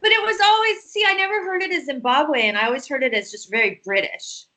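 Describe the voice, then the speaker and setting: A woman hosting a casual chat with a friend, giggly and animated as she tells stories, recorded over a call. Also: sits high, quick pace. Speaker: host.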